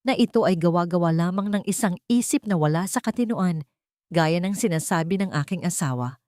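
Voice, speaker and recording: A woman voicing a composed, wealthy man who speaks calmly and clearly to reporters. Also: fairly low pitch, brisk, no room sound, studio quality, close to an everyday voice. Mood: solemn. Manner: calm and clear public statement.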